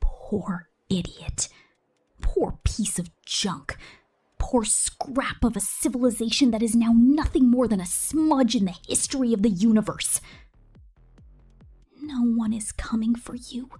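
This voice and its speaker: A woman voicing a cruel, possessive killer robot captor in a menacing, taunting ASMR roleplay, mostly in a breathy whisper. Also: a little high, warm tone, soft background music. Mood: gloating menace. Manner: menacing, taunting, slow and deliberate.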